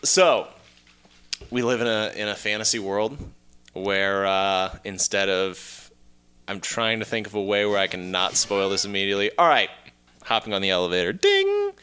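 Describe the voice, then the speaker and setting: A man with a lowish but bright, forceful voice co-hosts a casual podcast. His delivery swings up and down as he jokes, banters and spins stories, playful and a little crude. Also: moderate pace, clean tone. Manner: playful, animated, mildly crude. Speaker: podcast co-host. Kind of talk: casual joking banter and storytelling.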